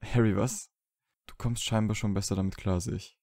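A man's voice: fairly low pitch, fairly even intonation, normal speed, creaky, warm tone, dry recording, clean studio sound. A man playing a wryly sullen, lovesick young wizard in a fan fiction audio drama, casual and understated. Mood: evasive.

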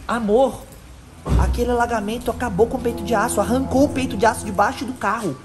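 A man's voice: very high-pitched, quick pace, music in the background. A young Brazilian man vlogging in a car and parking garage, talking animatedly to his viewers on a handheld camera. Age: young adult. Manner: animated, exclaims a lot, addresses viewers as rapaziada. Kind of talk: excited vlog narration to viewers.

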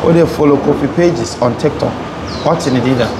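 A man's voice: mid-range voice, brisk. Fired-up young Ghanaian man lecturing outdoors. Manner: passionate, switches between English and Twi, lecturing. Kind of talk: live street chat, lecturing. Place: outdoor urban café terrace. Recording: noisy outdoor street sound.

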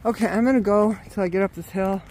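A woman's voice: medium pitch, fairly even intonation, fast, warm tone, a little hiss or hum, poor audio. A woman walking an outdoor wooded trail and filming with a handheld phone, talking casually and exclaiming often, out of breath from the climb. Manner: chatty, exclaims often, laughs. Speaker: vlogger.

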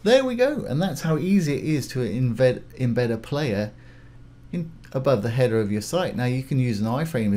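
A man hosting a casual step-by-step software tutorial, informally talking through each action as he goes. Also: lowish voice. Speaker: tutorial host. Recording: clear background noise, keyboard typing.

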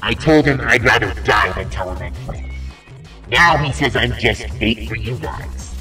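Man acting a weary, bright-edged captive fighter. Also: on the low side, normal speed, distant mic, soft background music, voice pushed down. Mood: weary resolve. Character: weary but loyal male captive fighter. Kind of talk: acted character in narrated fan story.

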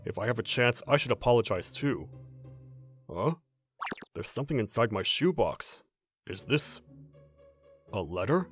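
A man's voice: mid-range voice, big pitch swings, unhurried, pauses often, soft background music. Man playing a flustered, earnest teenage schoolboy. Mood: musing. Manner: earnest, stammering when flustered. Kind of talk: manga dub voice acting, scripted.

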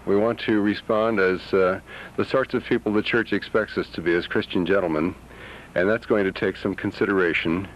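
A middle-aged American man answering an interview carefully, with deliberate hesitations. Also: on the low side, steady tone. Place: outdoors by a brick building. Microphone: handheld reporter's microphone. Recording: muffled, noisy 1970s newsreel sound.

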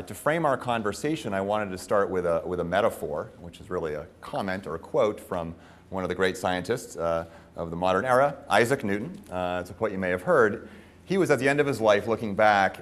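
Low-voiced man moderating a panel. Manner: measured, articulate, with frequent "uh" fillers. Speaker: moderator, professor. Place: conference stage. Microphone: lapel mic.

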